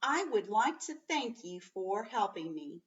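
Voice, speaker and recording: A woman's voice: mid-range voice, very animated, a little slow. A woman reading a children's storybook aloud, voicing a courteous, grateful male knight in a formal, exclamatory way. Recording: dull sound, distant from the mic.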